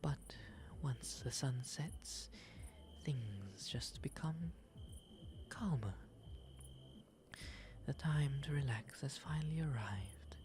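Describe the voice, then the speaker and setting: A man voicing a refined, gentle owl demon gentleman in a soft, breathy ASMR roleplay, speaking with courteous, eloquent phrasing. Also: mid-range voice, lively intonation, background noise. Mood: calm, reflective. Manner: genteel, eloquent, unhurried phrasing. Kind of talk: ASMR roleplay, intimate character acting.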